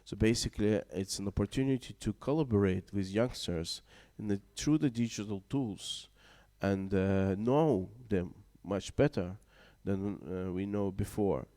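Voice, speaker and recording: Low mellow-voiced male moderator. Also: measured pace. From Baltic region. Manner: hesitant, many fillers, non-native phrasing. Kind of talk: panel discussion moderating. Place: conference room.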